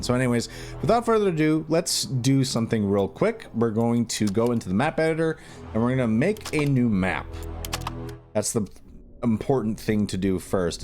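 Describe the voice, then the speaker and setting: A man's voice: medium pitch, darkish tone. A man hosting a video tutorial in a casual, informal way, thinking aloud as he works through the steps. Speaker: video tutorial host and game modder. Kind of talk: casual screen-recorded tutorial narration.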